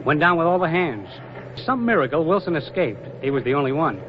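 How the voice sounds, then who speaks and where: A brisk Navy lieutenant, rather high in voice, earnest and deferential to his superiors. The 1940s radio drama recording is dull and quite noisy. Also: very animated. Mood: earnest, explanatory. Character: brisk, dutiful young male Navy lieutenant, admiral's aide.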